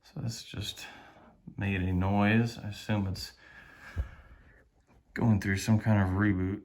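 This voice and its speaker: A man with a fairly low voice narrates a hands-on product demonstration. He talks casually, feeling his way through each step and thinking aloud as he works the device. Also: level tone, slow pace, darkish tone. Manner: tentative, thinking aloud while operating a device.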